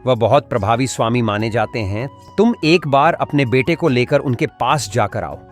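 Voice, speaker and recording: A friendly village man voiced by a male actor talks at a middle pitch, advising like an old friend. It is animated fable dialogue with faint music behind it. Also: normal speed, lightly acted. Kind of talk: animated moral story voice acting.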